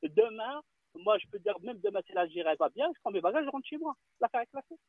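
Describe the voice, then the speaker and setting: A man calling in to a radio show, insistent and repetitive, speaking haltingly. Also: high voice, very animated, no room sound. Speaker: caller. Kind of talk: call-in radio. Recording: tinny dry phone line.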